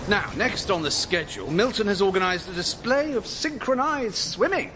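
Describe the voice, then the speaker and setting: A man's voice: high voice, lively intonation, normal speed, background noise. A male actor playing a flustered, exasperated colleague, sometimes stammering, in a radio sitcom. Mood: polite, awkward.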